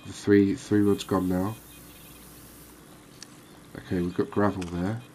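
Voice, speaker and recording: A man giving quiet, cozy ASMR commentary on a game, unhurried and thinking aloud. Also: low voice, level tone, a little hiss or hum. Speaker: gaming video host.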